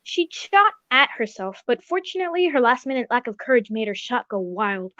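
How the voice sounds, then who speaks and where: A genteel, old-fashioned gentleman doctor played by a woman in a radio mystery. The voice is high and formal, telling things in a measured way. The recording is dry and dull. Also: sing-song, no room sound. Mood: earnest. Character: Doctor Watson, genteel older gentleman narrator and loyal companion. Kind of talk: old-time radio drama acting.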